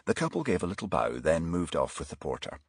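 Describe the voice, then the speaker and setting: A man's voice: low voice, very animated, fast talker, husky, no room sound, studio quality. A man reading a crime novel aloud as an audiobook narrator, in a scripted storytelling style.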